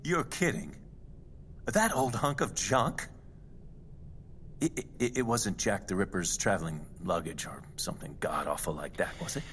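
Spoiled wealthy heir, low sharp voice, sardonic, petulant, audio drama, music behind. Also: male voice, expressive, a little slow, slight background noise. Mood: greedy excitement. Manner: haughty, sardonic, swooping delivery. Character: greedy, bitter rich heir, theatrical and petulant. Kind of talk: dramatic audio fiction acting.

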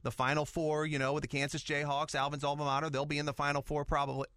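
A man's voice: on the high side, monotone, fast talker, background noise. A man hosting a sports podcast, talking in an easygoing, conversational way and steering the questions. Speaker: podcast host.

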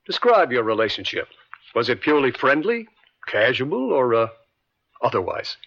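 An actor playing an insistent district attorney in an old radio drama, questioning in a deliberate, theatrical way. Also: male voice, medium pitch, very animated, vocal fry, a bit bright, exaggerated voice. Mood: probing, pointed. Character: probing male district attorney, insistent and pointed. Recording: dull lo-fi vintage radio.

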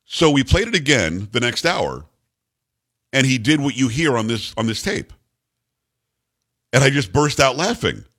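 Male talk radio host, deep bass voice, loud and upbeat, dry studio sound. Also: big pitch swings, unhurried, long pauses, breathy edge, dry recording. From New York. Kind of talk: energetic talk radio monologue.

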